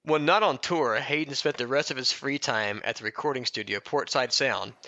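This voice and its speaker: Bright-voiced, irreverent man on a lo-fi mic. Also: medium pitch, normal speed, no room sound. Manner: irreverent, breaks up laughing while reading. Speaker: podcast co-host. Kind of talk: reading a mock biography aloud with joking asides.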